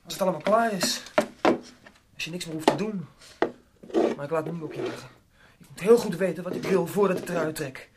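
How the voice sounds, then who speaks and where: A man's voice: on the high side, a little fast, long pauses, lightly acted. Een man die in een hoorspel een koppige, piekerende, eenvoudige klusjesman speelt, verdedigend en omstandig pratend. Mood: brooding, aggrieved. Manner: rambling, defensive. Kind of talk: Dutch radio play acting. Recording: very noisy old radio recording.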